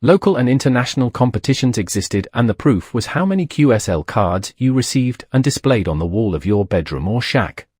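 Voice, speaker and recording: A man's voice: medium pitch, big pitch swings, a little fast, hardly any pauses, a little mellow, slightly rough, no echo, studio quality. A man narrating a documentary from a script.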